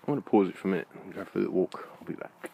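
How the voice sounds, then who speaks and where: A man's voice: deep voice, fairly even intonation, very fast, vocal fry, highs cut off. A man chatting casually and ramblingly to camera, with informal muttered asides, as he walks outdoors. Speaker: vlogger. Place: outdoors in a park at night, walking. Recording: noisy outdoor handheld camera recording.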